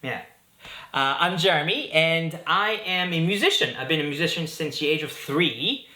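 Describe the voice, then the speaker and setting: A man's voice: fairly high pitch, lively intonation, moderate pace, sharp tone, echoey room. A man from Belgium in his thirties, hosting a casual talk to camera in an upbeat, chatty way. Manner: upbeat and chatty, with fillers and jokes. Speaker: host.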